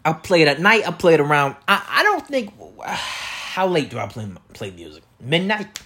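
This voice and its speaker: A man with a loud, projecting voice rambling through a comedic solo monologue as a podcast host, repeating himself and tossing out rhetorical questions. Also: fairly high pitch, big pitch swings, moderate pace, slightly rough. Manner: repetitive, riffing with catchphrases and rhetorical questions. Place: indoor room beside an open window.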